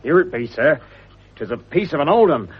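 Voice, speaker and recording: An actor playing a deferential, plucky country trapper with a husky voice and an English rural accent in a 1950s British radio drama. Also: male voice, fairly high pitch, very animated, moderate pace. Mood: practical, eager. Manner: respectful rustic talk. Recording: muffled vintage radio recording.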